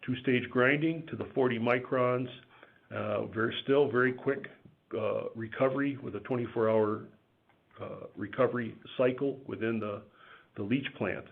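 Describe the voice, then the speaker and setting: A man giving a measured, matter-of-fact business presentation as a company executive on a conference call, over a phone line. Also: mid-range voice, steady tone, gravelly, breathy edge. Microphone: telephone conference line. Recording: tinny, band-limited phone line.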